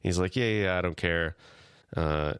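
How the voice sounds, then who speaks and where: A man with a low, smooth, resonant voice co-hosts a podcast, recapping scenes in easy conversation and slipping into quick paraphrased bits of dialogue. The sound is close and dry, with no room around it. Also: a bit bright, no room sound. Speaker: podcast co-host. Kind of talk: casual chat.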